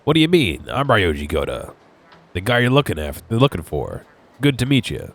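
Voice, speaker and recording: A man's voice: deep voice, huge pitch swings, normal speed, vocal fry, soft background music, exaggerated voice. A congested man voicing a cocky young male punk who claims a famous name, with brash, swaggering taunts. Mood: smug.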